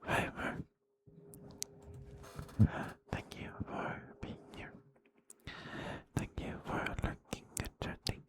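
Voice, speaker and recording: A man hosting an ASMR livestream, speaking softly and intimately and slipping into whispers. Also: slow pace, long pauses.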